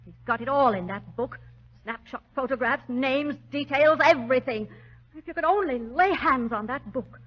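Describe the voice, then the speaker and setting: A muffled old radio recording of a young street woman. Her voice is fairly high, brash and melodramatic, full of bitterness and scorn. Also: animated, normal speed. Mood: vengeful. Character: bitter, hard-bitten young London gutter woman, vengeful. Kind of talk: 1940s radio drama acting.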